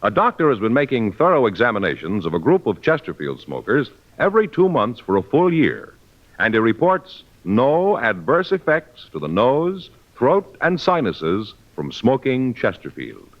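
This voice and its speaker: A male commercial announcer in 1950s radio style. His mid-range voice swings very widely in pitch, and the old broadcast sounds dull. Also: very animated, normal speed. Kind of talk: 1950s radio cigarette ad read.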